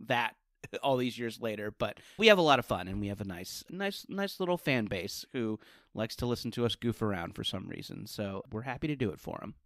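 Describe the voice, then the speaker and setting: A man's voice: fairly low pitch, expressive, a little fast, fairly bright tone, no echo, studio quality. A middle-aged American man chatting casually as a podcast guest, rambling along in an informal, self-deprecating way. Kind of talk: casual interview chat.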